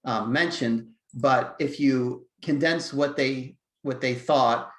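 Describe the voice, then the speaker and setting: A man's voice: mid-range voice, slow pace, a bit bright, reverberant. A man co-hosting a casual webinar for dog trainers, reflective and explaining his points steadily.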